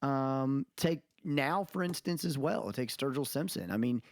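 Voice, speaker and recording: A man's voice: medium pitch, animated, unhurried, no room sound, studio quality. A man co-hosting a podcast, talking casually and opinionatedly about music in a rambling, storytelling way. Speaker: podcast co-host.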